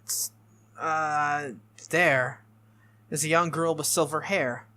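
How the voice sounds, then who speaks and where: A game playthrough host reading a visual novel aloud in an expressive way, with casual reactions. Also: mid-range voice, slow pace. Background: game music.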